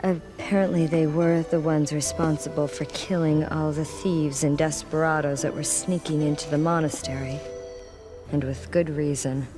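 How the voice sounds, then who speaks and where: A woman's voice: deep voice, fairly even intonation, moderate pace, lightly acted. An actress playing a cool, serious young woman investigator in a video game cutscene, with music behind her. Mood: grave.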